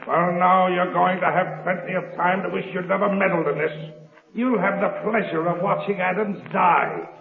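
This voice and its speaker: Gravelly gloating villain. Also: male voice, sits high. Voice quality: gravelly, sneering. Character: ruthless gloating male swindler, cruel villain. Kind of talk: 1930s radio drama acting. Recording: tinny, echoey old 1930s radio broadcast.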